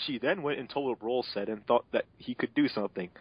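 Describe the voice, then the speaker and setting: Casual male narrator for a bad-fanfic reading, sardonic, mid-pitched, on a lo-fi home mic. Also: fast talker, slightly rough, no echo. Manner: sardonic asides, breaks off to swear. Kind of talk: mocking amateur dramatic reading.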